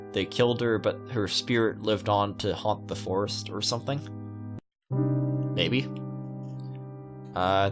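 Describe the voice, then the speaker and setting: A man reading a visual novel aloud in a casual, halting way, dropping in his own asides. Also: on the low side.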